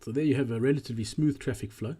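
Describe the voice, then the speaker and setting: A man narrating a walkthrough presentation in a measured, explanatory way. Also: mid-range voice, warm tone, husky.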